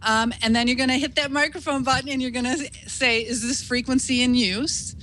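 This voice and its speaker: A woman's voice: sits high, fairly even intonation, fairly quick, a bit bright, a little hiss or hum, rough recording. A woman giving an informal instructional talk in an encouraging, reassuring, conversational way, like a teacher walking beginners through the steps. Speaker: instructor, ham radio operator.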